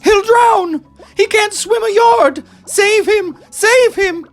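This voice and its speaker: A woman's voice: extremely high pitch, takes it slowly, soft background music, voice pushed up, heavily acted. Piercing, hysterical shrieking mother. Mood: panicked shrieking. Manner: screaming, scolding. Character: shrill, hysterical, indignant mother.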